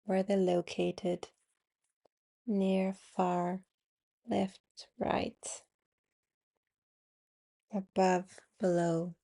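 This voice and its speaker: Slow, mellow woman guiding meditation. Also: lowish voice, flat delivery, creaky. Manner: soothing, unhurried instructions with long pauses. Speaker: meditation guide and podcast host. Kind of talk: guided yoga nidra meditation.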